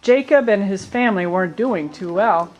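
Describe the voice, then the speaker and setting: A woman's voice: medium pitch, normal speed, background noise. A woman serves as narrator for a puppet show. She tells the story in a colloquial storyteller's way, dropping in little asides as she goes.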